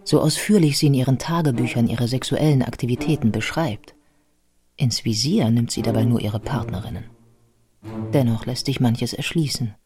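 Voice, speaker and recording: A man narrating a scripted biographical radio play in a measured, scholarly manner. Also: mid-range voice, over music, natural voice.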